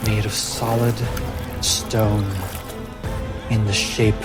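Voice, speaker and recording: A man working as game master, narrating a fantasy tabletop game in a measured way with dramatic pauses. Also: on the low side, level tone, over loud music. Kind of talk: slow narration of a fantasy tabletop game, casual chat afterwards.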